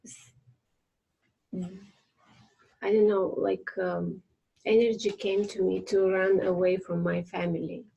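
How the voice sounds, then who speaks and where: A woman introducing herself to a training group, pausing as she searches for words. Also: mid-range voice, vocal fry, mellow, breathy edge, rough recording. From Bulgaria. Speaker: accountant, training participant. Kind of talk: reflective self-introduction.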